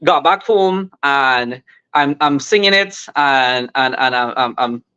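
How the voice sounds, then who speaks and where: A man's voice: medium pitch, slow pace, sharp tone, clear, resonant tone, lo-fi sound. A man, a musical artist telling stories from home in a rambling, laughing way with many restarts. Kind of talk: casual storytelling on a remote interview.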